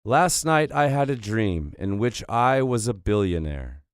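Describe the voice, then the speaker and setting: Man, low voice, very slow deliberate reading, listening-exercise narration. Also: very animated, no echo, clean studio sound. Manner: clear, carefully paced dictation. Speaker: audio recording narrator. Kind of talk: slow scripted reading for language learners.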